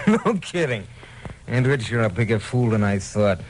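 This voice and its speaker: An actor playing a slick, menacing crook in an old-time radio crime drama, coercive and sneering. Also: male voice, lowish voice, very animated, measured pace. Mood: sneering threat. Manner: smoothly coercive, sneering at his victim. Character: menacing, slick insurance-fraud crook, male. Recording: noisy old radio recording.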